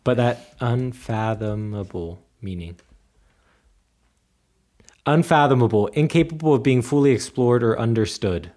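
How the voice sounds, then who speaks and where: A man's voice: mid-range voice, lively intonation, unhurried, pauses often, a little mellow. A man co-hosting a podcast, chatting casually and often thinking aloud. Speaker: podcast co-host. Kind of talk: casual chat.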